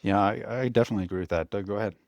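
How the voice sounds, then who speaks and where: A man co-hosting an interview who speaks haltingly, searching for his words. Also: fairly low pitch, a little mellow, no room sound. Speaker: co-host. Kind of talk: interview discussion.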